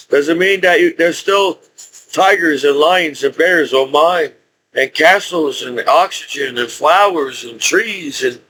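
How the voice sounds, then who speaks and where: A man in his forties speaking in a rambling, halting monologue with frequent restarts and laughs. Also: a little high, a bit bright, slight background noise, rough recording. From United States. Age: 40s. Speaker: YouTuber.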